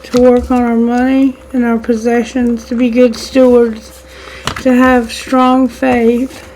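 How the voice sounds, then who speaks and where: A woman's voice: fairly high pitch, mellow, slight background noise, rough recording. A woman giving a tarot reading on her channel, whose voice is strained and fading. She speaks straight to her listeners as a collective, using spiritual wording and prayer. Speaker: tarot reader.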